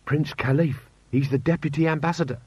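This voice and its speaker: Man doing an earnest, raised-pitch young officer. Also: a little fast, husky, dry recording, muffled recording. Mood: earnest, respectful. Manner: respectful, proper, matter-of-fact. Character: earnest, dutiful, literal-minded young watch officer.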